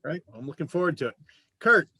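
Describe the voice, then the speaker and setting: A man's voice: fairly high pitch, big pitch swings, fast, bright, forceful tone. A man hosting a show, chatting casually as he interviews his guests. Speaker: show host.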